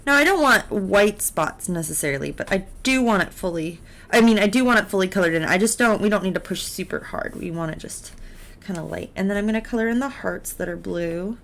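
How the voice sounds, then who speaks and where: A woman teaching art in a casual step-by-step drawing tutorial, encouraging and unhurried as she talks through each step while she works. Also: medium pitch, animated, fairly bright tone. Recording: clear background noise, drawing sounds.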